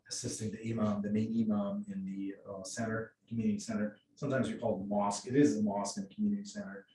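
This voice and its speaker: A middle-aged man from Egypt speaking on a panel in English, with many halting restarts and fillers. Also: lowish voice, mellow. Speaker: mosque outreach volunteer. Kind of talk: panel discussion. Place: event room. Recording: roomy distant sound with faint noise.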